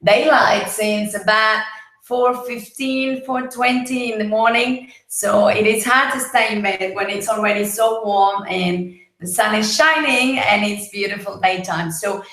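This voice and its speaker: A woman from Mexico with a husky, partly lost voice, hosting a casual live broadcast and greeting viewers by name. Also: mid-range voice, a little slow, a bit bright, echoey room, poor audio. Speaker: livestream host. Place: home, Gold Coast.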